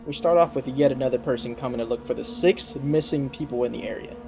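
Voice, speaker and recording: A man's voice: medium pitch, quick pace, creaky. A young man doing casual recap commentary on a story, slangy and given to incredulous asides. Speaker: video commentator. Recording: loud music bed under voice. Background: loud background music.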